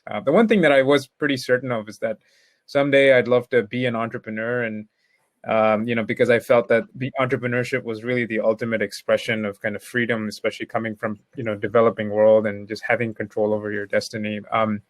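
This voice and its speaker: A man from Pakistan telling his story as a panel guest, relaxed and reflective, often saying "you know". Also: on the low side, fast talker, dry recording. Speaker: panelist, entrepreneur. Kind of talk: conversational storytelling.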